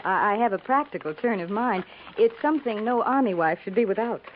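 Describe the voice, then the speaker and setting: An American actress playing a practical, seasoned frontier army wife, neighborly but grave, speaking in a measured, matter-of-fact way in a 1950s radio drama. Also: female voice, mid-range voice, animated, slight background noise, natural voice. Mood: awkward, kindly. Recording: tinny, narrow-band 1950s radio recording.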